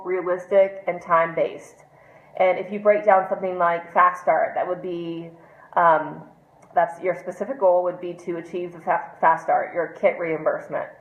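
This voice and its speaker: Stuffy-nosed woman giving a chatty talk. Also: lowish voice. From United States. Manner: chatty, many ums, encouraging. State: congested, stuffy nose. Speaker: team trainer. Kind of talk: informal training talk to camera. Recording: echoey room, dull lo-fi sound.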